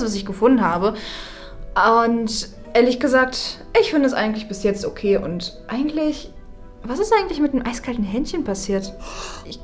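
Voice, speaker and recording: A young woman playing a gloomy teenage schoolgirl in an improvised web series, halting and matter-of-fact. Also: a little high, natural voice. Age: young adult. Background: background music.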